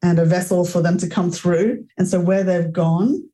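A young woman with a low, mellow voice talks as a guest in an interview. She is enthusiastic and rambling, and scatters "like" through her sentences. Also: steady tone, normal speed. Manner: enthusiastic, spiritual, rambling with many "like"s. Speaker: entrepreneur and guest. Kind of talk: conversational interview.

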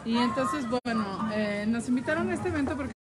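A woman's voice: a little high, animated, fairly quick. A Mexican woman hosting at an outdoor event, chatting casually with many fillers and translating for viewers. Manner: chatty, many fillers, switches to English to ask questions. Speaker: host.

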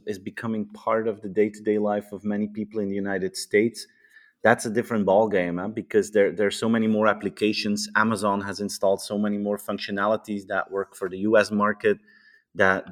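A man's voice: lowish voice, level tone, a little fast. A man with a Dutch accent, a native Dutch speaker, chatting casually and eagerly, often repeating words as he starts a thought and adding "huh?" tags. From Europe. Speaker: author and podcast guest. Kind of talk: casual conversation.

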